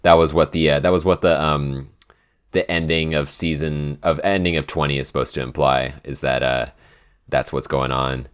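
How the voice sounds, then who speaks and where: A man with an extremely low voice talks as a podcast co-host and writer. His delivery is informal and conversational, looping back over phrases and peppering them with "like" as he works through an answer. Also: fairly even intonation, normal speed, clear, resonant tone. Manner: informal, circles back with frequent "like". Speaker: podcast writer and co-host reading listener questions. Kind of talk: casual Q&A chat.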